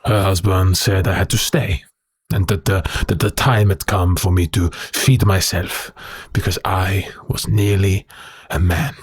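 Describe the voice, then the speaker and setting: A brooding male werewolf prisoner, speaks very low and slowly. His voice is heavily rasped and husky, with a bitter, haunted weight to every halting phrase, as in a dark audio drama. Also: little pitch movement, no room sound. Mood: haunted sorrow. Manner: slow, halting, brooding delivery.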